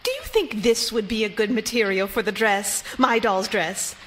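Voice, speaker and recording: An actress playing an attentive, gently puzzled female relative in a live theatrical radio drama, with a coaxing, questioning manner. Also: mid-range voice, very animated, moderate pace. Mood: coaxing, encouraging. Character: attentive, gently puzzled female in-law tending a troubled wife. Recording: live stage recording, faint background noise.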